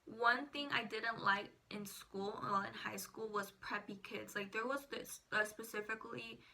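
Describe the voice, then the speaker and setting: A woman's voice: mid-range voice, fairly even intonation, unhurried, sharp tone, low-quality recording. An eighteen-year-old woman, a native Spanish speaker, chatting casually to viewers as a vlogger. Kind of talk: casual personal chat to viewers.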